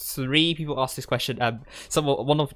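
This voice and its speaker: A young man from Hong Kong talks casually on a livestream in a medium-pitched voice. He is hesitant and rambling, stumbling back over his words as he goes. The sound is dry, with soft music underneath. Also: moderate pace, no room sound. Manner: hesitant, rambling, with stuttered word repeats. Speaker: gaming streamer and tournament commentator. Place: indoors at a desk in a gaming chair.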